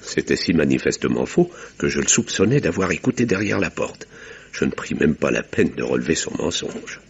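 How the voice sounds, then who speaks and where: A man's voice: extremely low pitch, sing-song, normal speed, creaky, muffled recording, full character voice. A man acting a grave, formal village doctor who insists and narrates in a theatrical 1950s French radio mystery style. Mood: measured, narrating. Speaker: actor and first-person narrator. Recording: noisy vintage radio recording.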